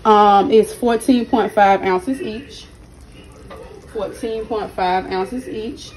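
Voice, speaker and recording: Hoarse woman chatting while she cooks. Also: mid-range voice, steady tone, slow, a crowd in the background, low-quality recording. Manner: chatty, keeps addressing viewers directly. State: hoarse, partly lost voice. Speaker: cooking channel host. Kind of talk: casual cooking vlog narration. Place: home kitchen at the stove. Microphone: phone held in hand while cooking.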